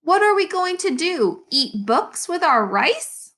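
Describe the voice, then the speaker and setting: Woman putting on a high, grumbling storybook wife. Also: big pitch swings, slow, a bit bright, heavily acted. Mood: exasperated grumbling. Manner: complaining, exasperated.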